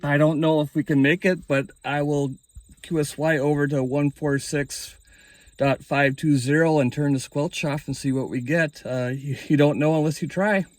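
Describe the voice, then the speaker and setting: A man's voice: on the high side, steady tone, normal speed, no room sound. A man speaking casually as an amateur radio operator hosting a hobby video. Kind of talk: casual hobby vlog talk and radio calling.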